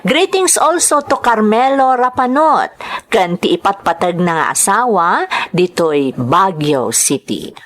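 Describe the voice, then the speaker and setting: A woman's voice: medium pitch, big pitch swings, unhurried. A woman with an Ilocano accent hosting and narrating, reading greetings and a listener's letter aloud. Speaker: host and narrator of a drama channel.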